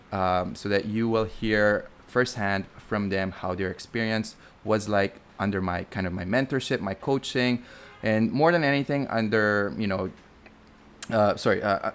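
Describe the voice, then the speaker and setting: A man's voice: fairly low pitch, clean tone. A young man with a Filipino accent, a flight instructor rambling through a live Q&A stream and often correcting himself. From Philippines. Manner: chatty, rambling, self-correcting with many fillers.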